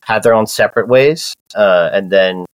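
Relaxed low-voiced man. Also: normal speed, smooth, dry recording. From Southern California. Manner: loose, many fillers and restarts. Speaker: podcast guest. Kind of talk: casual personal storytelling.

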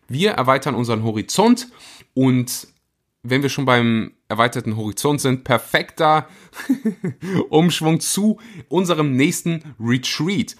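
A man of middle pitch hosting his own podcast. He talks casually and with plenty of energy, pushing ahead and then slowing down to stress a point. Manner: energetic, enthusiastic, speeds up and slows for emphasis. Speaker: podcast host.

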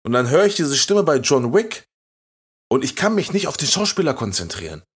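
A man's voice: medium pitch, big pitch swings, moderate pace, slightly rough. Laid-back man on a podcast. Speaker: podcast co-host. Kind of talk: casual chat.

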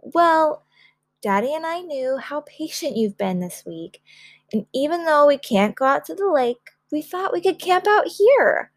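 A woman voicing a gentle mother in a children's storybook, soothing and affectionate. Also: fairly high pitch, expressive, moderate pace, husky, no room sound. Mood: reassuring, upbeat. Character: gentle, reassuring mother of a young girl.

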